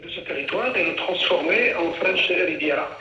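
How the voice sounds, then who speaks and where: Male commentator, high forceful voice, hesitant, muffled roomy radio playback. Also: expressive, normal speed, loud music behind the voice. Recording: dull, echoey, distant radio playback.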